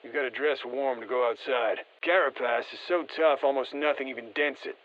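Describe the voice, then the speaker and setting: A man voicing a seasoned special-forces operator in a video game, briefing the squad in a terse, steady, encouraging way. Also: mid-range voice, a little fast, muffled recording, lightly acted. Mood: calm, musing. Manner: terse, matter-of-fact military delivery. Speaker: military operator giving mission guidance. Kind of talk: scripted video game voice lines.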